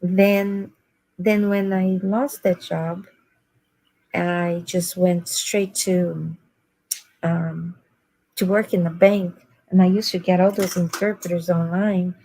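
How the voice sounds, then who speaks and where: Woman from Brazil, Portuguese native, slightly slurred speech, flat mellow voice. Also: fairly low pitch, slow, low-quality recording. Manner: searching for words. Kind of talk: casual chat.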